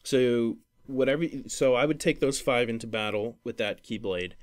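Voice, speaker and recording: A man's voice: mid-range voice, moderate pace. Rambling man on a gaming podcast. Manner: rambling, hesitant, self-correcting explanations. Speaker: podcast host. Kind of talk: casual gaming podcast talk.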